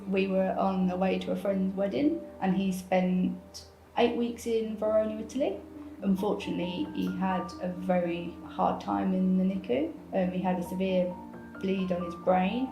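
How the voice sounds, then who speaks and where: A woman in her thirties speaks gently and steadily about her family, pausing often with ums. Her voice has a gravelly edge, and music plays under a roomy, echoing recording. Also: mid-range voice. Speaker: parent interviewed in a video. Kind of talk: personal account in a documentary clip. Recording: roomy sound with background music.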